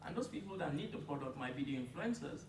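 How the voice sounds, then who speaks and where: A man's voice: fairly high pitch, expressive, noisy background. Man giving advice, echoey room far off. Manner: measured, explanatory, some restarts. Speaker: panelist, founder. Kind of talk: advice at a panel talk. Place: hall at a community event.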